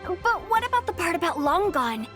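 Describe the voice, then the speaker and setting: A woman's voice: very high voice, big pitch swings, moderate pace, over music. A woman voicing a playful, imaginative teenage girl with a dramatic manner in a video game. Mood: dramatic, excited. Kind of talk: animated video game voice acting.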